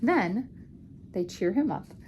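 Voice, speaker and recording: A woman's voice: mid-range voice, expressive, slow pace, highs cut off, slight background noise. A woman telling a puppet-show story for children in a playful, informal way with simple explanations.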